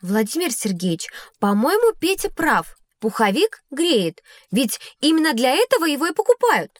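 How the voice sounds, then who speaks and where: A woman's voice: sits high, sing-song, moderate pace, dry recording, clean studio sound. A woman playing a curious, clever schoolgirl in an educational audio drama, eager and articulate. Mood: earnest. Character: bright, curious schoolgirl pupil, quick to understand. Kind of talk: scripted audio drama dialogue.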